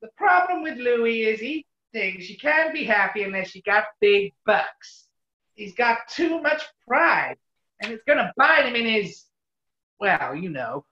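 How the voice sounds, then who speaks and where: An actress as a brassy American city character in a 1940s radio play. The delivery is brash, sharp and very lively, heard in an echoey room. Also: female voice, fairly high pitch, measured pace, full character voice. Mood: brassy, wistful. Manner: brash, animated. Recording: roomy, muffled online recording.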